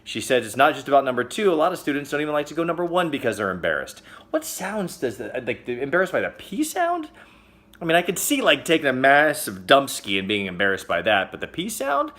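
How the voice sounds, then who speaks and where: A man hosting a casual comedic news roundup, chatty and joking, with sudden loud exclamations. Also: mid-range voice, huge pitch swings, fairly quick. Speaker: host.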